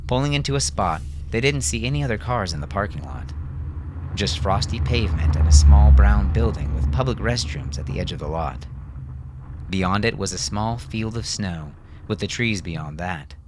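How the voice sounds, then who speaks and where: Man, deep-pitched, scripted fiction narration, audible background noise. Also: animated, normal speed. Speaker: audiobook narrator.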